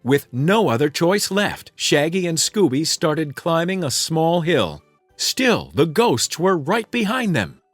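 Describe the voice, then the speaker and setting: A male storybook narrator with a slightly high voice and hugely varied intonation. He reads a children's tale aloud in a dry, close recording with music softly behind. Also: big pitch swings, takes it slowly, no echo.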